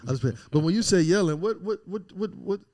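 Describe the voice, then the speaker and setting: A man hosting a podcast, chatty and quick to jump in with affirmations during casual talk. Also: high voice, lively intonation, a little mellow. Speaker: podcast host.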